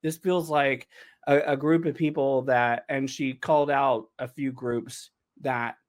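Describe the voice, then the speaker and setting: A man chatting casually on a panel, telling stories in an animated way and acting out his own reactions. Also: medium pitch, unhurried. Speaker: panelist on a behind-the-scenes show. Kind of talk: casual chat.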